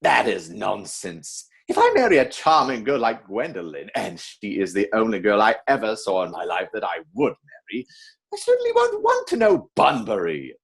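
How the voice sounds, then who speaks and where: A man performs a stuffy young gentleman of stage comedy in a husky voice. His pitch leaps dramatically as he insists on things with earnest exasperation. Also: wildly swooping pitch, normal speed, exaggerated voice. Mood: indignant, stuffy. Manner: stuffy, emphatic, indignant.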